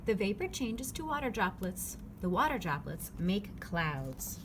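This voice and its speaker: Lively woman reading a children's book aloud. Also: medium pitch, measured pace. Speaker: read-aloud narrator. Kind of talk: children's picture-book read-aloud, scripted. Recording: clearly noisy background ambience.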